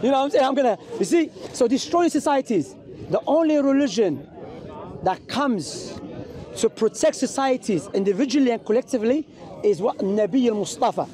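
A man's voice: extremely high pitch, lively intonation, moderate pace, dark tone. A man from Algeria in a heated outdoor street debate, combative, interrupting and repeating his questions. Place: outdoors in a park, among a crowd. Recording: outdoor park recording amid a crowd.

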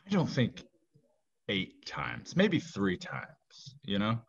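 A fairly low-voiced man tells a loose, rambling story, stopping for fillers and small restarts. The recording is dull and lo-fi, as if the highs were cut off. He sounds relaxed and in no hurry. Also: takes it slowly, vocal fry, slightly rough. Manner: laid-back, rambling with fillers. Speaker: guest. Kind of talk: casual storytelling chat.

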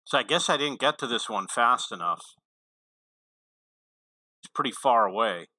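A man's voice: mid-range voice, animated, moderate pace, sharp tone, no echo. A man giving casual, unscripted tutorial commentary on a video game in a matter-of-fact way.

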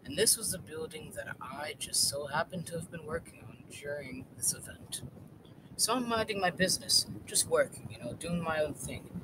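A man's voice: fairly high pitch, normal speed, far from the mic, noisy background. A man casually narrating his own story in the first person, rambling a little. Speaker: narrator and creator.

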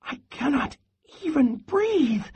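A man voicing an excitable little male squirrel in a storybook, chirpy and pleading. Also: very high voice, slow, muffled recording, voice pushed up, full character voice.

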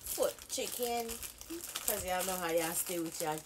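A woman's voice: on the low side, unhurried. A young Jamaican woman with a Jamaican accent, chatting casually in her bedroom as she tastes snacks, chewing while she talks and teasingly repeating words. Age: young adult. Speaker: vlogger. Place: small bedroom indoors. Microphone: fixed front-facing camera. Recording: wrapper crinkling noise. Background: crinkling snack wrappers.

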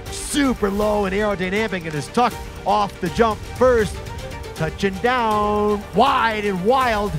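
A man calling ski races play-by-play in quick sports commentary. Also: high-pitched, music in the background. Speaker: race commentator.

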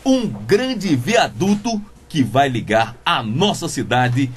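An actor with a Brazilian accent playing a pompous, speechifying bachelor mayoral candidate in a comic radio soap. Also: male voice, mid-range voice, very animated, takes it slowly, a little hiss or hum. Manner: grandiloquent, speechifying.

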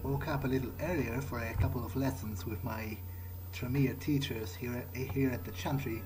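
A man narrating a gameplay video in character, thinking aloud in a halting way. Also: medium pitch, steady tone, low-quality recording. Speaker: gaming video host. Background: game music.

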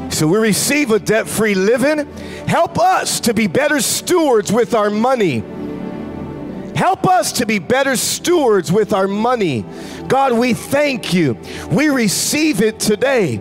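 Fervent preaching man, high and lively. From United States. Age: 40s. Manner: loud, fervent preaching with sudden shouts. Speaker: pastor. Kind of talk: sermon. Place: large church stage with congregation. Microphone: handheld mic.

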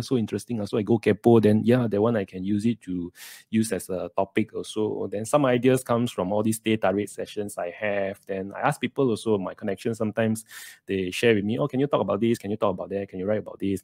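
A man's voice: fairly low pitch, creaky, a little mellow, slightly rough, no echo. A man in his thirties with a Malaysian English accent, hosting a casual live question-and-answer stream, chatty and dropping in local slang. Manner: chatty, sprinkles lah and Malay words. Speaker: livestream host. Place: small room indoors. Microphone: USB studio mic on a stand, close.

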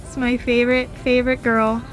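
A woman's voice: fairly high pitch, level tone, fairly quick, low-quality recording. A young woman chatting casually to her viewers as a vlogger, inside a truck cab. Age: young adult. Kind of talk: casual vlog chat to viewers. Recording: music behind the voice. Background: music.

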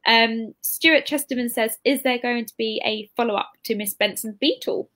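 A woman's voice: a little high, normal speed, fairly bright tone. A British woman in her twenties hosting a casual live interview over a video call, chatty and informal. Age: 20s. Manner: chatty, informal, full of hedging asides. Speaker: interviewer, bookshop host. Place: indoor room, video call. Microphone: computer mic on video call.

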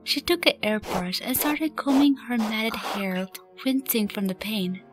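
A woman's voice: fairly high pitch, lively intonation, unhurried. A woman reading scripted story narration as the narrator of a fan radio play. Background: music.

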